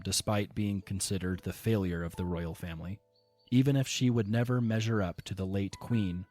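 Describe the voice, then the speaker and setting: A man reading scripted story narration as the narrator of an audio drama, in a measured storytelling manner over music. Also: on the low side, a little mellow.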